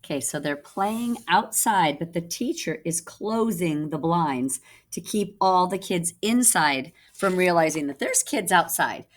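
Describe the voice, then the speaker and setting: A woman reading a children's picture book aloud in an expressive, teacherly way, with casual explanatory asides. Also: fairly low pitch, unhurried. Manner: explains pictures and terms to young listeners.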